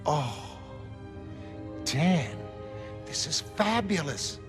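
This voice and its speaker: A man's voice: on the high side, expressive, drawn-out words, over music. A man voicing a candid, lonely woman, a former costumed heroine, conversational and emphatic in a comic-book reading. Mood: delighted wonder.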